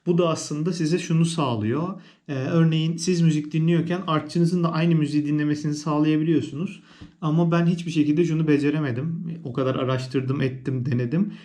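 A man's voice: a little high, fairly even intonation, brisk, dark tone. A man giving a casual, conversational product review as a vlogger, explaining things as he goes. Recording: roomy indoor echo.

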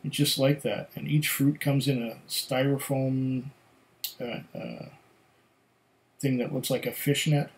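A middle-aged man whose first language was German, giving casual whisky tasting notes at home, musing and pausing between sips, recorded on a webcam at desk distance. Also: medium pitch, little pitch movement. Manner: slow, musing, pauses to sniff and sip. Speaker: whisky reviewer. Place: home room with shelves of bottles.

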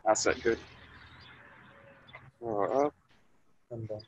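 A man in his thirties teaching an online aikido class, giving calm, short coaching cues outdoors in a grassy orchard, recorded on a camera mic as he moves nearer and farther. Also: fairly low pitch, slow, a little hiss or hum. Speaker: aikido instructor. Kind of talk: live online class instruction. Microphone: camera mic, distance varies as he moves.

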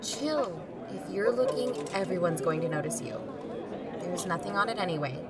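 A woman's voice: fairly low pitch, moderate pace, far from the mic, a loud crowd, natural voice. A woman playing a cool-headed amateur investigator, speaking casually in an audio drama. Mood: hushed, practical.

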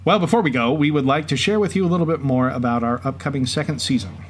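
A man's voice: medium pitch, fast talker, natural voice. A man co-hosting a podcast, telling stories in light scripted banter. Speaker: podcast co-host. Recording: clear background noise and ambience.

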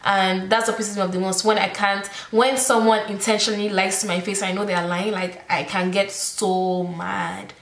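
A woman's voice: mid-range voice, normal speed, vocal fry, hardly any pauses, echoey room. A woman in her twenties with a Nigerian accent, chatting casually to camera in a bedroom. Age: 20s. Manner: chatty, expressive, peppered with "like". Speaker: YouTuber. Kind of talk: casual Q&A vlog. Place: bedroom, indoors.

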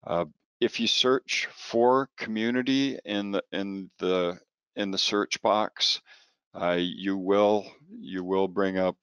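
Very deep-voiced man giving software training. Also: fairly even intonation, clean tone, no room sound. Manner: informal, halting delivery with repeated words. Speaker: product trainer. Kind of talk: software training presentation over slides.

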